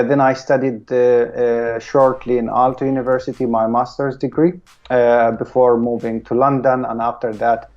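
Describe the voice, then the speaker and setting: A man's voice: mid-range voice, dark tone, dull audio. A man from Palestine hosting a live stream, talking casually and hesitantly with many filler sounds.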